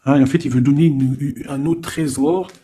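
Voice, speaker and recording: A man giving a casual, improvised tarot reading, thinking aloud in an informal, halting way with frequent little tag questions. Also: on the high side, steady tone, warm tone, breathy edge. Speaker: tarot card reader.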